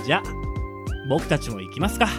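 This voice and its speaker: A man playing a friendly, chatty, excitable train hand strap in a comic radio drama. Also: medium pitch, a little fast, over loud music, lightly acted. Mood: polite, cheerful.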